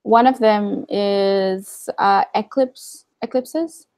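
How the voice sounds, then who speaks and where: A woman's voice: mid-range voice, very slow, darkish tone, dry recording. A young woman speaking as a guest in a casual video-call interview from her living room, candid and wry. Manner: candid, wry, many fillers and asides. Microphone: video call webcam.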